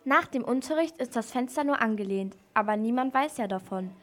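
A woman's voice: a little high, expressive, a little fast. A female narrator telling a scripted story in a children's radio play.

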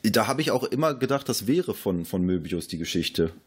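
A man with a fairly low, raspy voice. He speaks as a podcast co-host discussing film, in a style between casual chat and prepared narration. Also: big pitch swings, moderate pace.

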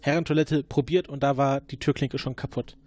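A man playing a formal time-travelling agent who questions a suspect in halting, filler-laden speech during an improvised roleplay. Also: medium pitch, fairly even intonation, darkish tone, dry recording, natural voice. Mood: probing. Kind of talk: improvised murder-mystery roleplay.

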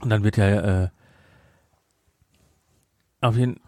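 A man's voice: fairly low pitch, fairly even intonation, takes it slowly, darkish tone, no echo. Opinionated male podcaster, dry close sound. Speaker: podcast host. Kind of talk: casual film discussion.

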